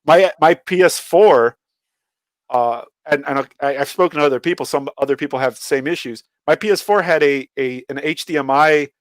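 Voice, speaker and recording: A man's voice: a little high, moderate pace, dry recording. A man co-hosting a casual podcast, blunt, opinionated and free with swearing. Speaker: podcast co-host. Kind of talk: casual gaming chat.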